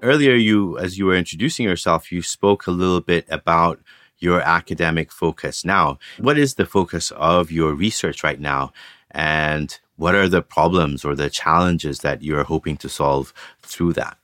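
A man working as an interviewer, asking measured, carefully worded questions. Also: low voice, a bit bright, no echo, studio quality.

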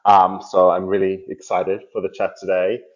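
Australian guy in his 30s, lowish voice, measured interview answers, muffled call audio. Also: monotone. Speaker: podcast guest. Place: at home in London. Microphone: remote call.

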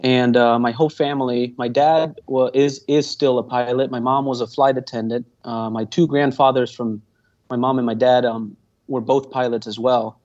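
A man's voice: mid-range voice, steady tone, moderate pace, a little mellow. Young man, rambling earnestly. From Venezuela. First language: Spanish. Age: 20s. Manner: earnest, rambling, with many fillers and restarts. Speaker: guest pilot. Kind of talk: casual interview answers. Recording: dull muffled audio.

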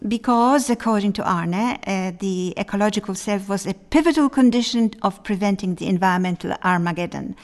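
A middle-aged woman hosts a studio podcast with a wry, playful edge. She poses one rhetorical question after another. Her pitch sits in the middle range. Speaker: podcast host. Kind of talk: hosting a panel discussion.

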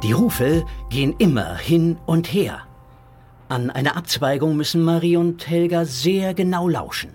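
A man's voice: a little high, lively intonation, measured pace, a little mellow. A man narrating a children's audio drama in a scripted storytelling style. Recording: music bed behind narration.

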